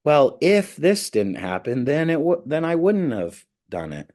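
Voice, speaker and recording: A man who is a pastor teaching a ministry class, telling candid personal stories in a lively way. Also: on the high side, unhurried. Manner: candid, animated storytelling, voice jumps up when excited.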